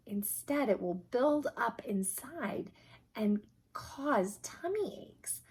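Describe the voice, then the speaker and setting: A woman reads a picture book aloud to young children in a slow, very animated way. Her voice is a little husky, and she explains things simply as she goes. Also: medium pitch. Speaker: children's story reader.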